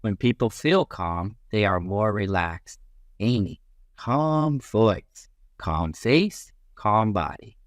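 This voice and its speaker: Animated man reading aloud to children. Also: fairly low pitch, no echo, clean studio sound. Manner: instructive, with drawn-out emphasis on key phrases. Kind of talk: expressive children's read-aloud.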